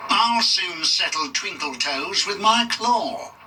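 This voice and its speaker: A man's voice: sits high, lively intonation, takes it slowly, background noise, voice pushed up. Man doing a growling, mean diesel engine villain. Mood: snarling threat. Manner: growling, snarling, threatening. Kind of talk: children's storybook character voice.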